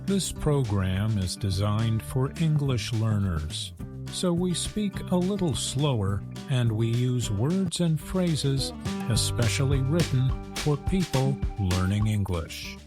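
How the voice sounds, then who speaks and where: Very slow American male radio host. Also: mid-range voice, animated, music in the background. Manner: clear, careful enunciation for learners. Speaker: programme host. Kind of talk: slow scripted radio introduction.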